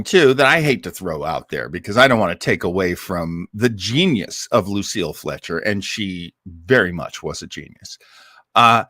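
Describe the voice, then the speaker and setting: A man hosting a show, telling stories casually with dramatic emphasis and drawn-out, repeated words for effect. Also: medium pitch, a bit bright, no echo, studio quality. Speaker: host of an old-time radio listening show. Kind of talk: casual live storytelling introduction to a radio classic.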